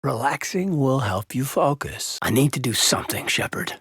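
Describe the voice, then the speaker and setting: A man playing an earnest, loyal soldier officer in a space-war video game, with a husky, raspy voice and a sincere, measured manner. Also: mid-range voice, sing-song, a bit bright, dry recording, studio quality, exaggerated voice. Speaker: video game squadmate character. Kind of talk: dramatic video game voice acting.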